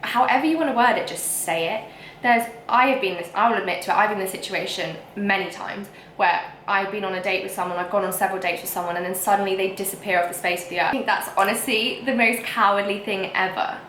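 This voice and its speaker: A woman's voice: medium pitch, fast talker, fairly bright tone, slight background noise. Young woman, whiny voice, frank and emphatic vlog rant, echoey room. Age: young adult. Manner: emphatic and frank, with frequent fillers. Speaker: vlogger. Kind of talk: casual ranting to camera.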